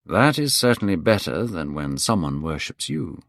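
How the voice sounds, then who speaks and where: A man voicing a worldly, cynical aristocrat with a slow, musical voice in a storybook reading. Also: sits low, sing-song, gravelly, a bit bright, dry recording, studio quality, full character voice.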